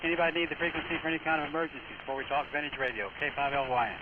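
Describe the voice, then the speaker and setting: A man hosting an amateur radio net over single-sideband, talking in a casual, folksy, unhurried way. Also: a little high, fairly even intonation. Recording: noisy, narrow-band shortwave radio transmission.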